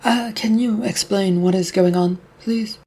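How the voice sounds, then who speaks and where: Nervous young female camp counselor, voiced by a man, raised pitch, husky edge, anxious questions. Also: fairly even intonation, a little slow, warm tone, full character voice. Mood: worried.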